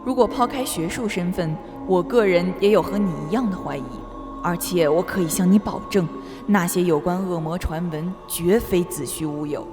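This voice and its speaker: A woman's voice: lowish voice, moderate pace, close to an everyday voice. A woman playing an earnest, pious scholar who explains things formally and disapprovingly in a radio drama. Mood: earnest, confiding. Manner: formal, expository. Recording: long hall reverb over music.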